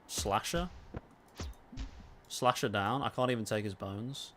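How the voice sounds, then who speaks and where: A man's voice: on the low side, slow, fairly bright tone, slight background noise. A man with a southern accent chatting casually and self-deprecatingly as he livestreams a game. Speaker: game streamer and YouTuber. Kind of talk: casual livestream chat while gaming.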